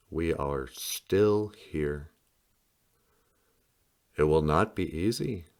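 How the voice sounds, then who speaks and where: A man reading a picture book aloud in a solemn, dramatic storytelling style with deliberate pauses. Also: low-pitched. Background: music.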